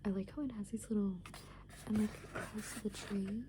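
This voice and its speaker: A woman's voice: medium pitch, level tone, moderate pace. Whispering young woman, noisy ASMR recording. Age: young adult. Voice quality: breathy, mostly unvoiced whisper. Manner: rambling, hesitant, frequent "like". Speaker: ASMR video creator. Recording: clear background noise.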